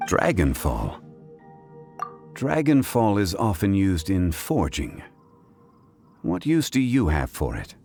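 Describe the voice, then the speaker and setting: A man voicing a calm, erudite gentleman investigator in a video game, speaking formally and deliberately. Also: deep voice, full character voice. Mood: courteous. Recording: faint music behind the voice.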